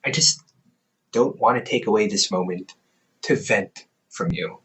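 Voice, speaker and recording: A man's voice: fairly low pitch, measured pace, a bit bright, far from the mic. A man voicing a caring, goofy older brother in an audio roleplay, playful and chatty, laughing often.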